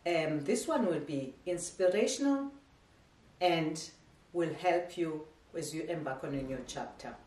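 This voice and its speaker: A middle-aged woman gives a prepared graduation address in a measured, ceremonial tone. Her voice is low for a woman. She is recorded from across a living room, so the sound is echoey and distant. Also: lively intonation, a little mellow. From South Africa. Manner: measured, ceremonial delivery with slight stumbles. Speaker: academy head giving a graduation speech. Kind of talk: formal prepared speech read aloud. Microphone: camera across the room.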